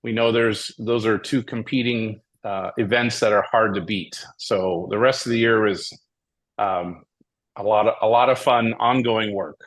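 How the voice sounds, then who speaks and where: A man from Hawaii, a tournament director guest, telling his story in a steady, methodical way. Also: fairly low pitch, unhurried, rough recording. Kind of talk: casual interview.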